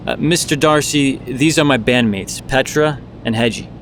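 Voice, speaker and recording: A man's voice: mid-range voice, normal speed, fairly bright tone, natural voice. Actor as a hesitant young man, noisy background. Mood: hesitant, casual. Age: young adult. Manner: casual, hesitant. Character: low-key, hesitant young male band member. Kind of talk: audio drama acting.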